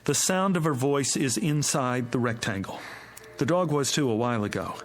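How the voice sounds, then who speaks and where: Actor as an anxious dad, voice swinging widely. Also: male voice, mid-range voice, animated, moderate pace, a little hiss or hum, close to an everyday voice. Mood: tense. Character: anxious husband and father searching for his missing daughter. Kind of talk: radio drama acting.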